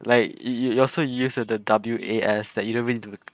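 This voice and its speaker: A man's voice: mid-range voice, fairly even intonation, fairly quick, dry recording. A young man chatting casually and laughing often while streaming a game. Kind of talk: casual live game commentary.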